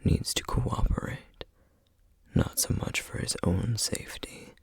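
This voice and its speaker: A man playing a solemn, guarded, protective flame demon in a whispered ASMR roleplay, speaking formally and hesitantly with a breathy, gravelly voice. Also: dry recording, clean studio sound, lightly acted. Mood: somber, guarded. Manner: slow, measured, formal, hesitant.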